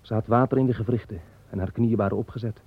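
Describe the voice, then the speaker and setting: Young farmer seth, husky voice, dry close sound, tinny old radio play. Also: male voice, on the low side, fairly even intonation, fast talker, no echo. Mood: wry. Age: young adult. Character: young farmer Seth, son of Adam, earnest. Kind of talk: 1960s Dutch radio drama. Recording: tinny narrow-band old radio recording.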